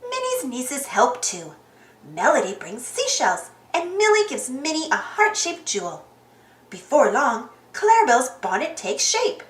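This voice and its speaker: A woman giving an expressive read-aloud narration of a storybook for children. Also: high voice, unhurried, reverberant. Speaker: children's storybook channel reader.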